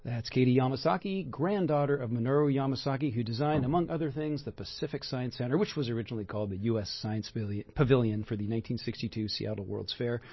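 Male radio host, enthusiastic, rambling, husky voice, dull lo-fi sound. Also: mid-range voice, fast talker, few pauses, no room sound. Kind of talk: radio talk interview.

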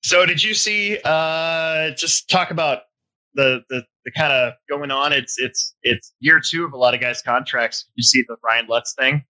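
A man's voice: on the high side, unhurried, pushed and bright, poor audio. A man in his forties hosting a casual hobby podcast from home, a bit stuffy-nosed, talking in a loose, rambling way. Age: 40s. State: stuffy nose. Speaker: podcast host. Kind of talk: casual chat.

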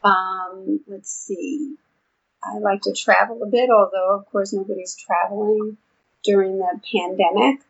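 An older woman with a New York accent, explaining her research in a relaxed interview with frequent ums. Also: mid-range voice, a little slow. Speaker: vestibular researcher and therapist. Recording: dull lo-fi audio.

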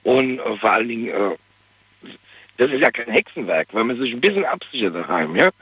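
A man's voice: mid-range voice, sing-song, fairly quick, fairly bright tone, slightly rough, no room sound. A male caller with a regional German dialect, chatting enthusiastically with lots of fillers, heard over a phone line. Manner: enthusiastic, many "äh" fillers and "ja?" tags.

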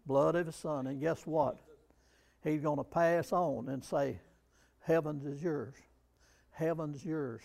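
A male preacher delivering a sermon with a gravelly, slightly raised voice. He speaks very slowly and drives each point home with emphatic rhetorical questions. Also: fairly high pitch, fairly even intonation, darkish tone.

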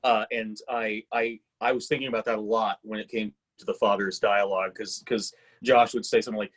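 A man giving casual workshop feedback, talking haltingly and acting out bits of example dialogue. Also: lowish voice, level tone, fairly bright tone, breathy edge. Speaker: script reading participant giving feedback.

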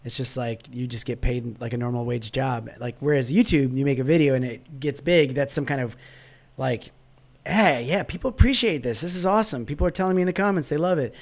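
A man talks over a remote call, hoarse and raspy from a cold. He rambles in a relaxed way and slips in dry self-mocking jokes. His pitch sits right in the middle for a man. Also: fairly quick. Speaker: guest, vlogger and musician. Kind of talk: casual interview chat.

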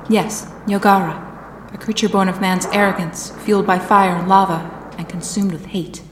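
A woman's voice: mid-range voice, fairly even intonation, moderate pace, background noise, close to an everyday voice. A woman playing a grave, knowing scientist in dramatic monster audio drama dialogue. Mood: grave, ominous. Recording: echoey room under music.

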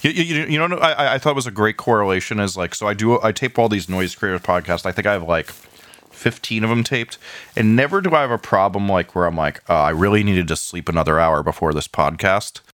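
A man's voice: on the low side, expressive, brisk, a bit bright, no echo. A man in his late thirties co-hosting a podcast in casual banter, often stammering and repeating words as he starts a sentence. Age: late 30s. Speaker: podcast co-host.

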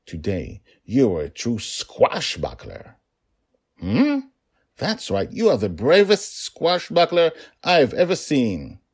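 Man acting a playful, mock-bewildered dragon father, swooping pitch. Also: wildly swooping pitch, measured pace, hardly any pauses, husky, heavily acted. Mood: tender, encouraging. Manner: exaggerated, teasing emphasis on words.

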